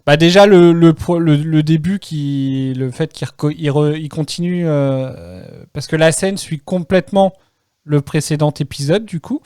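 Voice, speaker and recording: A man hosting a casual group podcast, repeating himself and telling things in an animated, hesitant way. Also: fairly high pitch, a little slow, clear, resonant tone, no room sound, studio quality. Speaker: podcast host.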